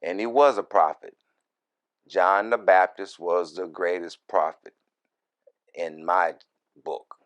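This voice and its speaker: Slow, low-voiced man teaching scripture. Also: fairly even intonation, a bit bright. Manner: deliberate, broken short phrases, folksy asides. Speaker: minister, Bible teacher. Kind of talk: verse-by-verse scripture reading with informal commentary.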